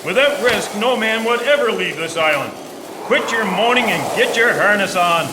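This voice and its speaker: A man's voice: high-pitched, lively intonation, normal speed. A man playing an anxious, determined ship's captain who speaks formally and insistently in a historical radio drama. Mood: stern. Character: anxious, determined steamship captain, brisk and commanding. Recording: noisy, echoing recording with clicks.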